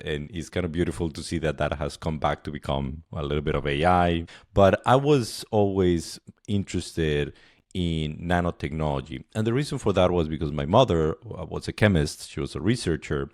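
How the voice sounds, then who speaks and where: A middle-aged man with a Colombian accent and a very low, deep voice, speaking as a podcast guest. He tells his story in a candid, self-deprecating, conversational way. The sound is dry and close, with little room to it. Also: a little mellow, dry recording. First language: Spanish. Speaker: podcast guest, startup program director.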